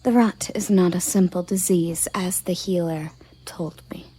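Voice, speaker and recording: Girl doing elf guard captain asmr, lowish breathy whisper, real slow, dutiful and matter of fact. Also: steady tone, mellow, low-quality recording. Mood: deferential, concerned. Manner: formal, measured, matter-of-fact. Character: female elven guard captain, dutiful, formal, earnest.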